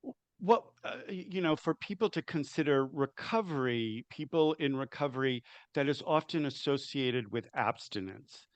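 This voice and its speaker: A man's voice: medium pitch, expressive, moderate pace, no echo. A man co-presenting a webinar who adds a comment, hesitant and stumbling over his first words. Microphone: video call.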